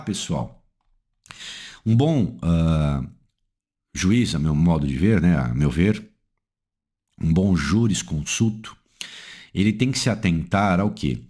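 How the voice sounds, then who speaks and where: Lively Brazilian man explaining the law. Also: slightly rough. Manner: asks rhetorical questions and answers them; emphatic, swings pitch up and down for stress. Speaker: legal analyst. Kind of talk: explanatory legal lecture.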